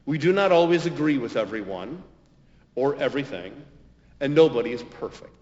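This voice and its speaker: Male American preacher, medium pitch, measured sermon delivery with emphatic pauses; dull recording in a big echoing hall. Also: expressive, darkish tone. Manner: measured, deliberate phrasing with emphatic stresses and pauses. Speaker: pastor. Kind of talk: sermon, homily.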